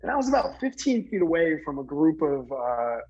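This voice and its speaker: A man from New Jersey earnestly recounting a personal story in conversation, halting, with many fillers and restarts. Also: a little high, big pitch swings, darkish tone, slightly rough, muffled recording. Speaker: guest speaker.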